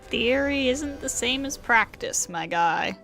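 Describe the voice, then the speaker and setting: A woman streams a game with casual live commentary. Her voice is a bit high and her pace is unhurried, with faint music behind. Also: animated, gravelly, bright, forceful tone.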